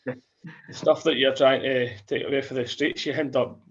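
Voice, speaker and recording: A man's voice: mid-range voice, steady tone, normal speed, gravelly. A man hosting an interview, reacting with short casual comments. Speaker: interview host. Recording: dull lo-fi audio.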